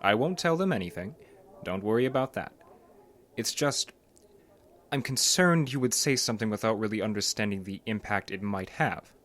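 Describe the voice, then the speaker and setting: An actor playing a concerned psychologist in an audio drama, a man who is earnest, measured and gently pressing. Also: fairly low pitch, lively intonation. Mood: concerned, cautioning. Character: concerned, probing male psychologist, earnest and cautioning.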